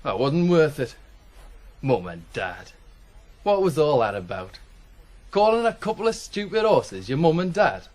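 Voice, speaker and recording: An actor playing a restless, scornful young farm lad in a radio drama. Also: male voice, on the high side, sing-song, unhurried, pauses often, darkish tone. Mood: scornful, mocking. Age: young adult. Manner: blunt, mocking. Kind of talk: naturalistic radio drama acting.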